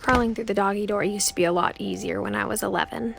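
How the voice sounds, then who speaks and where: A young woman voicing a reflective seventeen-year-old girl, narrating a story game in a soft, measured, wistful way. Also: medium pitch, steady tone, gravelly, background noise. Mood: matter-of-fact, wistful. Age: young adult. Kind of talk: scripted first-person game narration. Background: prominent music.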